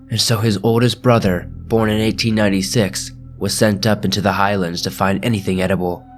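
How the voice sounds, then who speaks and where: A man narrates horror stories in a slow, measured, hushed delivery, his voice sitting a little low. Faint music plays quietly beneath the reading. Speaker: host and narrator of a scary story channel. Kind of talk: scripted horror story narration.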